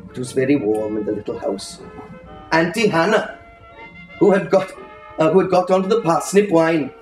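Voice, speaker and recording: A man giving an expressive, lyrical and unhurried reading of a literary story aloud to listeners. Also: fairly high pitch. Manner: dramatic, lyrical, unhurried delivery. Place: indoor reading in a bookshop. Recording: roomy echo, faint music behind.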